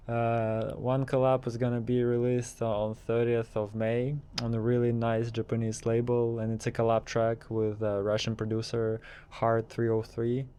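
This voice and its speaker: Man with a very clear, smooth voice. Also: mid-range voice, completely flat delivery, moderate pace, few pauses, warm tone. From Kazakhstan. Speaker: interviewed DJ and techno producer. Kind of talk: casual interview answers.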